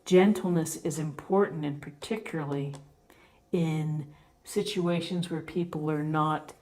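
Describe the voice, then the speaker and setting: A woman with a low voice hosts a tarot reading at a slow, unhurried pace. She explains the cards off the cuff, leaving deliberate pauses between phrases and offering gentle encouragement. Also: steady tone. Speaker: tarot reader, host.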